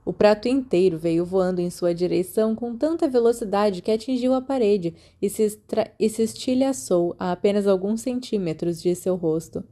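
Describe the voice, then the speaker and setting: A Brazilian woman narrates fiction in a mellow, relaxed voice at a medium pitch. She reads from a script in the style of an audiobook. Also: normal speed, few pauses. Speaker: podfic narrator.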